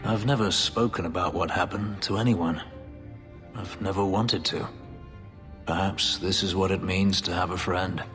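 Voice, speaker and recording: A man playing a guarded, brooding elf and former slave in a video game, with a solemn, halting delivery. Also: steady tone, close to an everyday voice. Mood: somber, reflective. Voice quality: deep, low voice. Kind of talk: dramatic video game dialogue. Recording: reverberant hall sound with music underneath.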